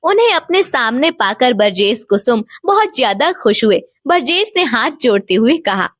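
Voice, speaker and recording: Expressive, high-voiced woman storyteller. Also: moderate pace, no echo, studio quality. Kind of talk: scripted children's devotional story narration.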